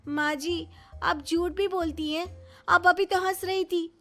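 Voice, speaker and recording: Woman playing an earnest, high-voiced young daughter-in-law. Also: steady tone, a little slow, faint music behind. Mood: hurt, indignant.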